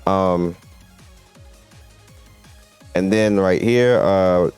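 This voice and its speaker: Low-pitched man commenting over game music. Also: extremely slow, a little mellow, clear, resonant tone. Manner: rambling, conversational. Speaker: gaming video host. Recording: game music playing behind the voice. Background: video game music.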